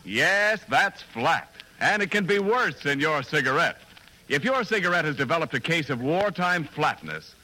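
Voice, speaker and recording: A man's voice: fairly high pitch, bright, forceful tone, poor audio. A man announcing a radio commercial in punchy 1940s sales style. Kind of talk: 1940s radio advertising read. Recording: old 1940s radio recording, light noise.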